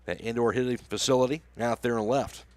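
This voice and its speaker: A man's voice: medium pitch, steady tone, brisk, no echo. A man doing live play-by-play baseball commentary for a radio broadcast. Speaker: play-by-play announcer.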